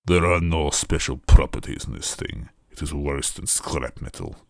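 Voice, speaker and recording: A man's voice: rumbling low, creaky, no echo, studio quality, pitched below the performer's own voice. A man voicing an enormous metal smith god in a story reading, stony, imperious and menacing. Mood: stony, disdainful. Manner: stony, slow-burning, imperious.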